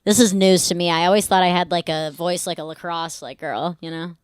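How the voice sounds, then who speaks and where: A woman's voice: fairly low pitch, fairly even intonation, fairly quick, smooth, no echo, clean studio sound. A young woman co-hosting a podcast, chatting and teasing in casual banter. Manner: playful, teasing interjections. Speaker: podcast co-host.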